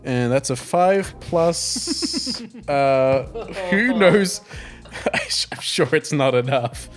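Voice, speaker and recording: A man playing an improvised comedy tabletop game, chatting casually and thinking aloud in an excitable, halting way. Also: on the high side, soft background music. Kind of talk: casual comic improvised roleplay.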